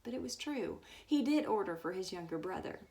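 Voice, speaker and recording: A woman's voice: medium pitch, expressive, fairly quick, mellow. A woman reading a book aloud as a storyteller, now and then stumbling over words. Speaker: story reader.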